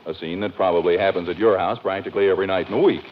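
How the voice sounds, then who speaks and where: A man's voice: low-pitched, fairly even intonation, very fast, slight background noise. A man announcing and narrating in a polished, theatrical 1940s radio style, reading from a script. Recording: dull old radio recording. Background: music.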